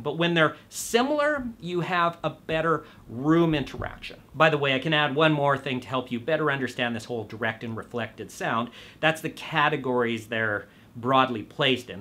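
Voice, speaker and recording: A man in his fifties presenting to camera, explaining things in measured, emphatic phrases with stressed key words. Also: fairly high pitch, bright, forceful tone. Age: 50s. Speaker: presenter of an audio show. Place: small indoor studio room.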